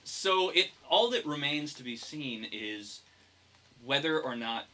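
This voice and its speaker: A man's voice: a little high, animated, takes it slowly, bright, forceful tone, rough recording. A young man talking casually as a podcast co-host. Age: young adult. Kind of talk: casual chat. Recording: clear background noise.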